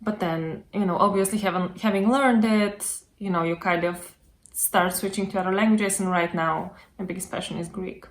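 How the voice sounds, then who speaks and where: A woman's voice: medium pitch, steady tone, fast talker, a little hiss or hum. A woman hosting a casual interview over a video call, chatty and filling her talk with yeah and like. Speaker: host.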